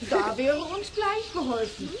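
A woman's voice: high-pitched, very animated, measured pace, over a noisy crowd. A woman playing a simple country woman who gathers firewood, in a children's fairy-tale radio play. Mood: plaintive, wistful.

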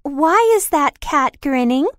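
Alice, ein Mann spielt ein hohes, neugieriges Mädchen. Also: male voice, slow pace, a bit bright, no room sound, clean studio sound, exaggerated voice. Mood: surprised. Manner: falsetto, earnest and insistent. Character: curious, polite young girl Alice.

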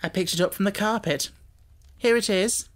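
A man's voice: high voice, normal speed, fairly bright tone, pitched above the performer's own voice. A man voicing a dutiful Victorian housekeeper woman, earnest and deferential, in an audiobook. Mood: eager, deferential.

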